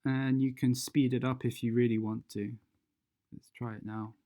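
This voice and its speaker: A software tutorial presenter talking through steps unscripted and hesitantly, thinking aloud while demonstrating. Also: medium pitch, level tone, moderate pace.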